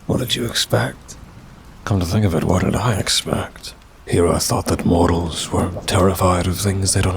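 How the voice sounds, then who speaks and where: Casting: man to play a wry demon for ASMR roleplay, deep male voice, unhurried, bored and condescending, rain behind. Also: vocal fry, slight background noise, exaggerated voice. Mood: bored, puzzled. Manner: dry, languid, drawling, faintly mocking. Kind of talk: ASMR roleplay, intimate scripted monologue.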